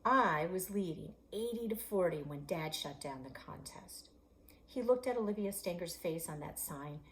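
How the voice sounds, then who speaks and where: Woman librarian-author, low-ish voice, lively read-aloud for kids with whispered touches. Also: moderate pace, low-quality recording. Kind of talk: reading her novel aloud for children.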